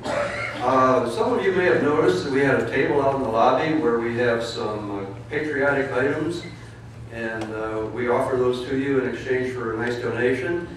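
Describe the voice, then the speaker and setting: A man's voice: mid-range voice, distant mic, background noise. Polite moderator man in an echoey hall. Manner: polite, brisk, announcing. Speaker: forum moderator. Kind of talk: moderating a public forum.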